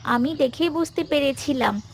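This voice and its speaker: A woman's voice: sits high, normal speed, lightly acted. A woman voicing a polite, naive young girl in a cartoon, with an artless way of talking. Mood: delighted. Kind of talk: cartoon dialogue.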